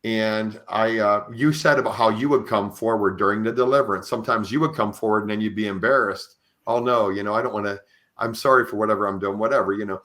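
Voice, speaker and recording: A man with a fairly low voice hosts a conversational podcast interview. He explains things earnestly, often restarting his phrases as he thinks them through. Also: fast, a bit bright. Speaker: podcast host. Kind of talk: conversational interview, sharing religious experiences.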